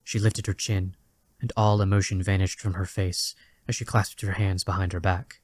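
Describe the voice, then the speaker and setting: A man narrating a scripted dramatic story in a measured, literary storytelling manner. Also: sits low, little pitch movement, darkish tone, no echo, studio quality.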